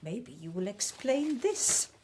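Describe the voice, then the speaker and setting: An actress playing a stern, suspicious woman in a radio drama, speaking in a sharp, imperious, sarcastic way. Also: medium pitch, sing-song, slow, background noise. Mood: accusing.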